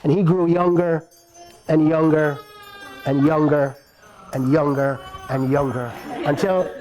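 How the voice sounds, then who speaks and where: A man's voice: on the high side, fairly even intonation, unhurried, a little mellow, faint music behind, low-quality recording. A man telling a folk tale to a live audience, playful and addressing listeners directly. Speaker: storyteller. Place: outdoor marketplace performance.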